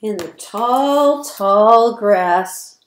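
A woman in her fifties reading a picture book aloud to young children, stressing words deliberately and expressively. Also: mid-range voice. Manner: slow, deliberate, expressive word emphasis. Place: indoor room at home, by windows. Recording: roomy, echoey webcam sound.

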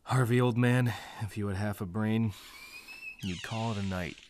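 An actor playing a weary, wry district attorney in a radio noir drama, dry and world-weary. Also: male voice, fairly low pitch, steady tone, normal speed, darkish tone, slight background noise, lightly acted. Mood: wry tired.

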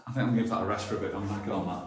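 A man's voice: lowish voice, fairly even intonation, racing. A middle-aged man, chatting casually to camera and partner as he vlogs at home. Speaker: vlog host. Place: small to medium bare rooms indoors. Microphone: handheld camera at arm's length. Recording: echoey bare tiled rooms, some noise.

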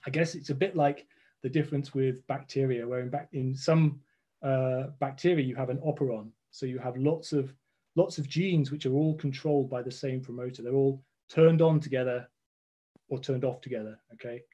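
A man's voice: mid-range voice, fairly even intonation, moderate pace, warm tone, dull audio. A man in his forties, a guest scientist on a video call from his home, explaining step by step with frequent restarts. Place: home room with red walls.

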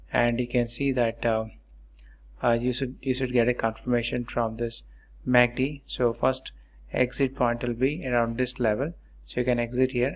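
A man narrating a chart tutorial in a halting, repetitive, explanatory way as a channel host. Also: medium pitch, vocal fry. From India.

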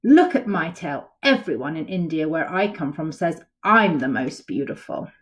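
A woman voicing a proud, showy peacock in a children's storybook, with a shrill, boastful manner. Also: animated, moderate pace.

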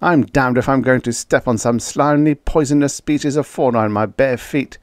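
A man performs a frightened, worn-out explorer on Mars in an audiobook story. The voice is pushed up high and sounds strained, emphatic and panting, recorded very dry and close. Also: moderate pace, darkish tone, no echo, lightly acted. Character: male Mars explorer, frightened, weary and queasy.

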